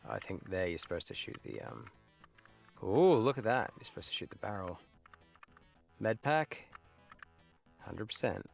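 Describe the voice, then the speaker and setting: Deep, gravelly man in his thirties. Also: sing-song, unhurried, long pauses, soft background music. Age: 30s. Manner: nostalgic, rambling, with muttered asides. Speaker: livestreamer playing games. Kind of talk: casual live gameplay commentary.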